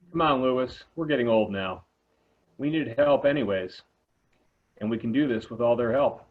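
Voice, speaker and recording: A tinny, narrow-band call carries a man playing a male high school teacher. He is exasperated yet game, mid-pitched, in a plain amateur table read. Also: moderate pace, natural voice. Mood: persuading, decided. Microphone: video call. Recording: tinny phone-like video call.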